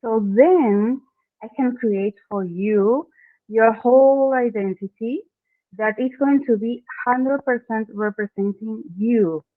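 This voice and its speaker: A woman's voice: on the high side, slow, no echo. A woman from Argentina whose first language is Spanish, speaking English with an Argentine accent and explaining her work step by step as a podcast guest. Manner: earnest, explains step by step in non-native English with "okay". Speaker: guest, branding expert. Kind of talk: podcast interview. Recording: dry, phone-like remote call audio.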